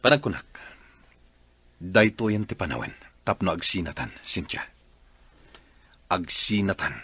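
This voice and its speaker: A man's voice: deep voice, sing-song, unhurried, bright, forceful tone, slightly rough, exaggerated voice. A man voicing a husband who is tired of his marriage and speaks curtly. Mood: weary. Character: weary husband, cold and done with his marriage. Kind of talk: radio drama acting.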